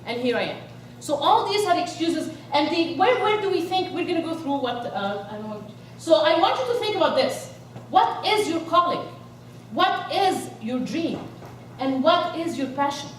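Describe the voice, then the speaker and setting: Woman from egypt, motivational speaker, high voice, rallying the crowd, booming hall reverb, distant mic. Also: normal speed, slight background noise. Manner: energetic, rallies the crowd with questions. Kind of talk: inspirational speech to a live audience. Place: large event hall. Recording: echoey hall, voice from far off.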